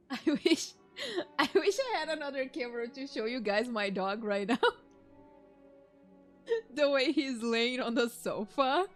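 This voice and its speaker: A woman's voice: high voice, unhurried, long pauses. A woman streaming a game, chatting casually and playfully as she reacts. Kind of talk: casual playthrough reaction chat. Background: game music.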